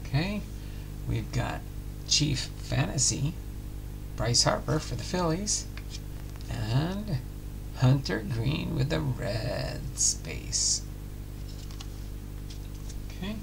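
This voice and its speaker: A middle-aged man works through packs of trading cards at a home desk. He talks slowly and announces each card plainly, his voice rough with gravel. His pitch bounces around freely, and a steady noise runs under the recording. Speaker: card-breaking channel host. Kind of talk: casual pack-opening commentary. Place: home room with card displays.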